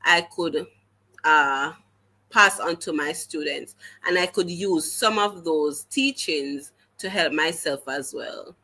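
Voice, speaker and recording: Jamaican woman guest, teacher, unhurried earnest storytelling with a bright, forceful tone. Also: sits low, slightly rough. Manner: earnest, halting restarts, slips into patois. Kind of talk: conversational storytelling in an interview.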